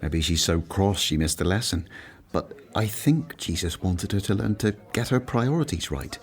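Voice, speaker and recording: A man playing an earnest, thoughtful disciple in a radio play, speaking in a measured, sober way. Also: low voice, lively intonation. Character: earnest, thoughtful, serious male disciple of Bible times.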